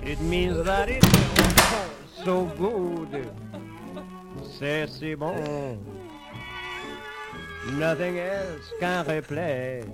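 A voice giving a slow performance of romantic song lyrics. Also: medium pitch, over loud music.

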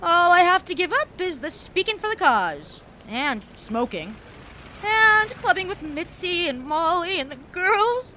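A woman playing an effervescent young upper-crust socialite of the 1920s in an audio drama, genteel, wordy and halting. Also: very high-pitched, big pitch swings, pushed and bright, a little hiss or hum, heavily acted. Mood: wistful. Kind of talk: period audio drama acting.

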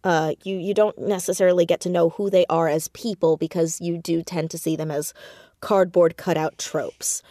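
A woman talking casually as an interview guest about her writing, with animated, self-deprecating storytelling and emphatic stresses on words. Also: fairly low pitch, moderate pace, dry recording, clean studio sound. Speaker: podcast guest, audio drama writer and creator.

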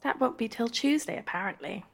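A woman playing a casual, down-to-earth friend with a husky voice in a radio play. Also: fairly high pitch, lively intonation, a little fast, fairly bright tone, full character voice.

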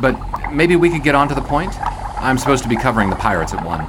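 A man playing an impatient 1920s newspaper reporter in a comedic audio drama, with a dry, businesslike, hurried delivery. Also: medium pitch, sing-song, very noisy, close to an everyday voice.

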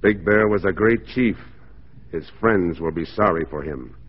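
Stern constable, dry wit, husky, measured, 1940s radio drama. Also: male voice, deep voice. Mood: resolute. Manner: firm, measured, authoritative. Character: stern, dry-witted, unbending Mounted Police constable. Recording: dull 1940s radio recording.

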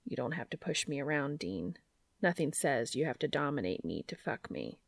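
A woman voicing a calm, reassuring and quietly bossy man in an audiobook-style story, measured and wry. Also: low voice, gravelly, husky, no room sound, pitched below the performer's own voice, exaggerated voice. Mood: low, reassuring.

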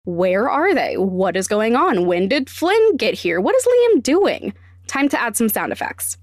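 Casting: female podcast narrator, slightly high voice, deliberate and emphatic teaching delivery, dry studio-style sound. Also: very animated, no room sound. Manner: deliberate, emphatic step-by-step phrasing. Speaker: podcast host, sound designer. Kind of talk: scripted instructional podcast narration.

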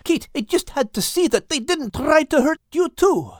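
A man voicing a hot-headed, impatient Scottish animal in an audiobook story, blurting and excitable but loving. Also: very high voice, normal speed, no echo, clean studio sound, pitched above the performer's own voice. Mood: agitated, earnest. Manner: impulsive, blurting.

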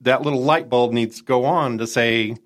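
A man's voice: mid-range voice, moderate pace. A middle-aged man with a nasal Chicago accent, chatting as an interview guest, rambling with folksy asides and frequent self-corrections. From Minot, North Dakota. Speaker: guest, nonprofit entrepreneur advisor. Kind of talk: conversational interview.

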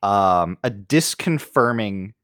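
A man chatting casually as an interviewer, halting and self-deprecating as he feels his way through his thoughts. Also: fairly low pitch, expressive, a bit bright, no room sound, clean studio sound. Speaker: interviewer, host. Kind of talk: casual chat.

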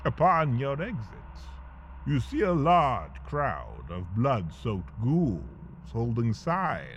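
Theatrical male narrator, wildly swooping pitch. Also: huge pitch swings, takes it slowly, a little hiss or hum. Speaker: podcast host and narrator. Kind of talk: scripted comedic storytelling in second person. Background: faint ambient sound effects.

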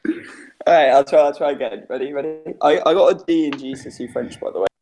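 A man's voice: a little high, quick pace, a little mellow, faint music behind. An eighteen-year-old English man hosting a comedy podcast from home, rambling, swearing casually and laughing often. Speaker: podcast host. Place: home during quarantine.

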